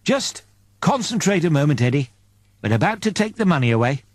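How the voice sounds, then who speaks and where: A man's voice: a little high, big pitch swings, brisk, a little mellow, no room sound, clean studio sound. A man voicing a serene, knowing female angel in an audiobook, coaxing with patient, assured phrases.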